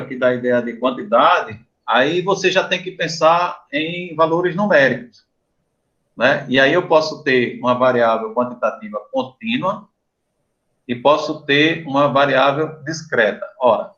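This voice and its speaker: A man with a Brazilian Portuguese accent, a professor giving an informal explanatory lecture to students, often pausing to check in with "né?" and "tá?". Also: fairly high pitch, fairly bright tone, dull audio. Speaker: university professor.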